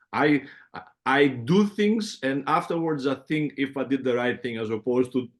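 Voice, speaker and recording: A middle-aged man with a heavy Greek accent, telling his life story in a relaxed interview, full of fillers and restarts. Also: medium pitch, unhurried. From Greece. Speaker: music producer guest. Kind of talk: casual interview conversation.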